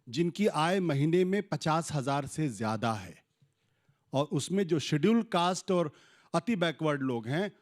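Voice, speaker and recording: An Indian man speaks as a panelist in a political debate, his throat sore. His voice sits a little high, and he argues in a measured, rhetorical way. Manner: measured, rhetorical, citing figures. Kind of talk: argumentative political debate speech.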